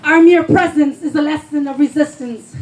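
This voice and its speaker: A woman's voice: high voice, normal speed, poor audio. A woman poet giving an impassioned, emphatic spoken word performance at a live reading, declaiming her lines. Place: live poetry reading before a responsive audience.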